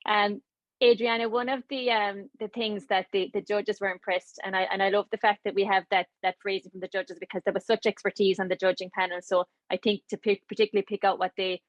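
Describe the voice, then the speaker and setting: A woman's voice: mid-range voice, little pitch movement, fairly quick, dry recording, dull audio. A woman hosting an online panel interview, encouraging and full of fillers and repeated words. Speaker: webinar host.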